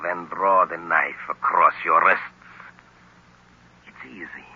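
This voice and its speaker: An actor playing a menacing, domineering professor villain with a husky voice, in old-time radio melodrama style. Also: male voice, deep voice, fairly even intonation, takes it slowly, exaggerated voice. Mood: coldly commanding. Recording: muffled lo-fi old radio recording.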